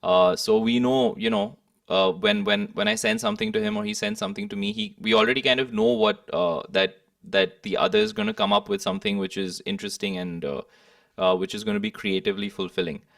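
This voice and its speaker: A man's voice: fairly low pitch, huge pitch swings, a little fast, gravelly, a bit bright, clean studio sound. A young man from India, speaking hesitantly and thoughtfully as a musician being interviewed. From Kolkata, India. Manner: hesitant, thoughtful, hedging phrasing. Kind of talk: reflective interview conversation. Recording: echoey room, distant microphone.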